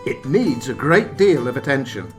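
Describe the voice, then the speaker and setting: A man's voice: medium pitch, big pitch swings, moderate pace, faint music behind. A man giving scripted comic narration for a radio play, in a wry, mock-grand manner. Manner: theatrical, wry.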